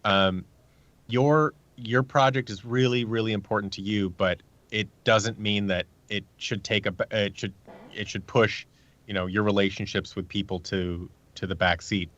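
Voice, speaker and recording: A man's voice: lowish voice, fairly even intonation, normal speed, a bit bright. A man giving casual, earnest advice through anecdotes as a podcast guest, acting out the remarks he quotes. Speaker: podcast guest, actor and producer. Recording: dull lo-fi audio.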